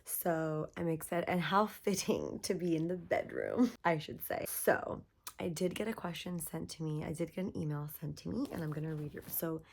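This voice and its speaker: A young woman hosts her podcast from her bedroom, her voice low and hoarse after losing it, with a gravelly fry running through it. She talks in a chatty, upbeat way, full of "like"s and quick asides. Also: big pitch swings. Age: young adult. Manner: chatty, upbeat, frequent likes and laughs. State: hoarse, voice partly lost. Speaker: podcast host. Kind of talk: casual self-help podcast monologue.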